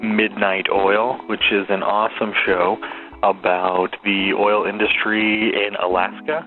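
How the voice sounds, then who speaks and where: Low-voiced man on a tinny phone line. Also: flat delivery, measured pace. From United States. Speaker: listener calling in. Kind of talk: casual phone-line recommendation. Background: faint music.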